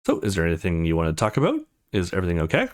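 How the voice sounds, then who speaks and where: A man voicing a friendly, caring adult woman from a visual novel, chatty and gently concerned. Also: low-pitched, huge pitch swings, very fast, pitched below the performer's own voice.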